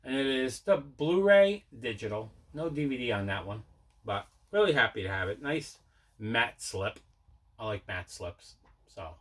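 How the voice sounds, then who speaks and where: Bright, forceful-voiced man hosting a show. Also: medium pitch, animated, unhurried. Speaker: host of a collecting video show. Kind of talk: casual unboxing chat.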